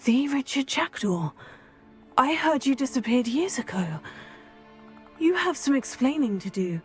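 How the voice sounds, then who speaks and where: An actress playing an earnest, composed teenage witch heroine in a video game, measured and polite. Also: high-pitched, very animated, faint music behind. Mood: firm, questioning. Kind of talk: video game character acting.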